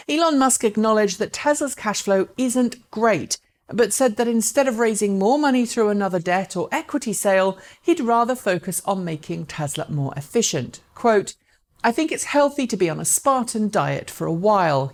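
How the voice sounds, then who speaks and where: A woman's voice: medium pitch, lively intonation, normal speed. A woman presenting electric car news as scripted narration, speaking articulately and directly to viewers.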